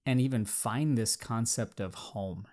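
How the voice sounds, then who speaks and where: A male podcast host in a conversational interview. His voice sits at a medium pitch, and he builds long, winding questions full of restarts and repeated words. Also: unhurried, darkish tone.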